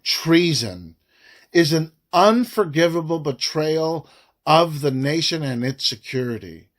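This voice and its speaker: A man reads a formal report aloud, phrasing it deliberately and emphatically with frequent pauses. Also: fairly high pitch, expressive. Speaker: narrator reading a report aloud.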